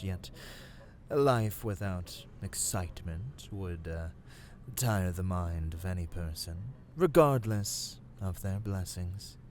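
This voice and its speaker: A man giving a measured, theatrical and formal storybook narration for an ASMR roleplay. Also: on the low side, darkish tone, slight background noise.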